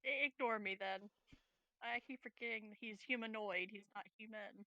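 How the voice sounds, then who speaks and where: A woman's voice: mid-range voice, moderate pace, sharp tone, no echo, highs cut off. A woman chatting casually as a player in a tabletop roleplaying game. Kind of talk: casual chat.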